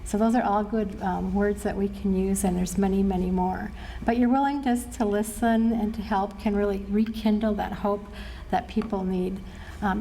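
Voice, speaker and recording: Mellow middle-aged American woman presenting. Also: mid-range voice, gravelly, slight background noise, poor audio. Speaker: suicide prevention trainer and presenter. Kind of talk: training presentation to a live group. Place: presentation room at a care facility.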